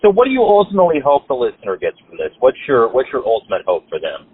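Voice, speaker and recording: A man hosting an interview, asking questions in a lively way. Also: medium pitch, fast talker, breathy edge. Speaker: interview host. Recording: tinny phone-like line.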